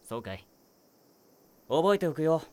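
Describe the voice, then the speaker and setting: An actor voicing a weary male high-school teacher who talks in a dry, wry, world-weary way. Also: sing-song, unhurried, mellow. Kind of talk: voice drama acting.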